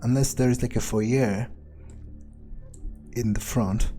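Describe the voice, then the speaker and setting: A man playing a wary, crude, sardonic vampire in an improvised video game roleplay, muttering his thoughts with hesitant pauses. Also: medium pitch, fairly even intonation, mellow, soft background music, natural voice. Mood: musing, wary. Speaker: gamer playing in character.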